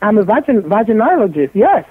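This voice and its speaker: A woman's voice: mid-range voice, sing-song, brisk, slightly rough, no echo. A woman from Haiti with a French accent, a gynecologist guest in a radio interview, speaking bluntly and jokingly and repeating herself for emphasis. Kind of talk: animated radio interview talk. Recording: tinny phone-like line.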